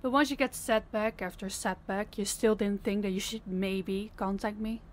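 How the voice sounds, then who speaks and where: Stern female crime boss, played by a woman, mid-pitched, blunt and commanding, audio drama. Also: fairly even intonation, normal speed, a little hiss or hum, close to an everyday voice. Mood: stern, probing. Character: stern, fed-up female crime boss scolding her subordinate. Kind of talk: audio roleplay acting.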